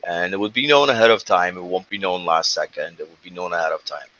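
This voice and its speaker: A man with a low, bright, forceful voice runs an online question session in a brisk, businesslike way. The audio is dull and lo-fi, with a faint hiss of noise behind him. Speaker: host running a community Q&A call. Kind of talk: moderating an online call.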